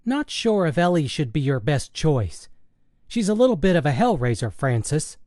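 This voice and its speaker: A man's voice: fairly high pitch, sing-song, moderate pace, darkish tone, dry recording, studio quality, voice pushed up. A man voicing a cheeky, smart-mouthed teenage son in an audiobook, with a teasing, mock-dramatic manner. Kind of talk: audiobook character dialogue.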